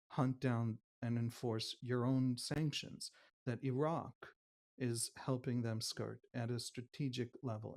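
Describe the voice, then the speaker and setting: Mid-pitched male guest with a Wisconsin accent; fluent, impassioned analyst who stacks lists and repeats for emphasis. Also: darkish tone. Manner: fluent, building lists and repetition.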